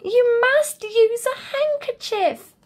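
A woman's voice: extremely high pitch, slow, voice pushed up. A woman voicing a concerned, bossy young male bear in a children's storybook, exclaiming earnestly. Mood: alarmed.

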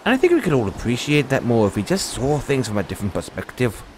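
A man playing a free-spirited, kindly dragon rider and mentor in an audio roleplay, musing and unhurried with pauses. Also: medium pitch, very animated, slight background noise, close to an everyday voice, low-quality recording. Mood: proud, carefree.

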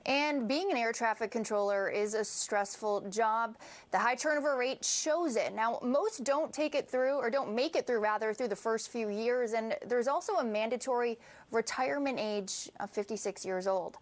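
A woman's voice: on the high side, normal speed. A woman in her thirties delivering a broadcast news report as a TV reporter. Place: TV newsroom, indoors.

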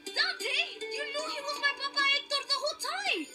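A woman's voice: extremely high pitch, lively intonation, brisk, pitched above the performer's own voice. A woman voicing an earnest, impassioned young boy hero from a storybook in an emotional, pleading way. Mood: urgent. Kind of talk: storybook character voice. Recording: music behind the voice.

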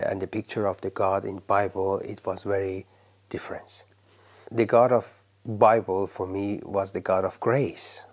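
A man from Iran speaks slowly and carefully in a lowish voice. He pauses often and lets his phrases trail off to a near whisper. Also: darkish tone. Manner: slow, halting, drops to a near whisper at phrase ends. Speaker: pastor. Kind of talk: testimony interview.

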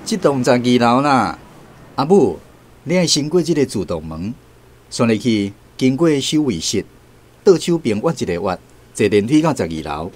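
Radio drama grown son, Taiwanese accent: dutiful, coaxing, animated delivery. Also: male voice, mid-range voice, normal speed. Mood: patient, reassuring. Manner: coaxing, explanatory. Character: dutiful, patient grown son coaxing his aged mother.

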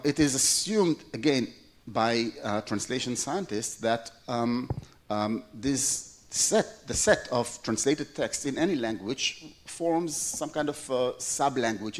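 A middle-aged man whose first language is Hebrew, giving a plenary conference lecture in a large hall, with many fillers and restarts. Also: mid-range voice. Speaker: plenary speaker.

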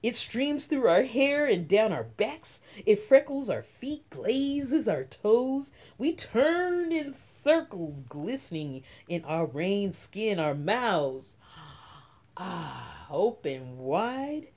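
A middle-aged woman reading a children's picture book aloud as story time host, with dramatic, drawn-out emphasis. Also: medium pitch, sing-song, a little mellow. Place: small room at home.

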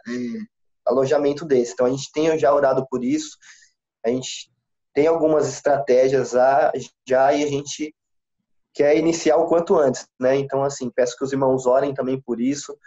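A Brazilian man in his thirties, a missionary pastor, speaking calmly and haltingly in an interview over a video call from a small room. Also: mid-range voice. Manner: calm, halting, with many restarts. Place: small room indoors, sitting beside his wife. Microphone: laptop camera mic on a video call. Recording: dull lo-fi video call audio.

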